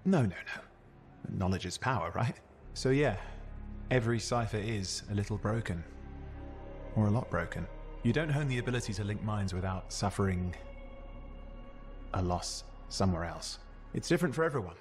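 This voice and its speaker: A man's voice: fairly low pitch, lively intonation, moderate pace, pauses often, soft background music, lightly acted. A man acting a chatty, restless young sidekick in a video game, with jokey, boastful banter that hides some insecurity. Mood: candid.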